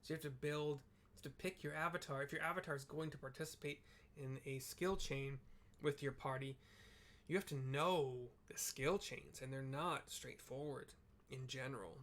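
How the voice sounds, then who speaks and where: A man's voice: medium pitch, normal speed, a bit bright. A man giving casual, rambling gameplay commentary as a streamer, explaining things informally as he thinks aloud. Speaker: video game streamer.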